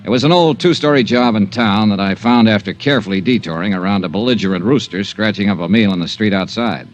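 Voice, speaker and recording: An actor playing a wry, hard-boiled American insurance investigator, sardonic and clipped, in vintage radio drama style. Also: male voice, on the low side, quick pace, muffled recording, soft background music, lightly acted. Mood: dry narrating. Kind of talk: 1950s radio drama acting and first-person narration.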